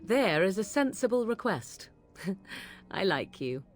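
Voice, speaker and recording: A woman's voice: mid-range voice, very animated, takes it slowly. Haughty, mocking witch. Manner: mocking, formal and archaic. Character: haughty young witch woman of the wilds. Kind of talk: game voice acting.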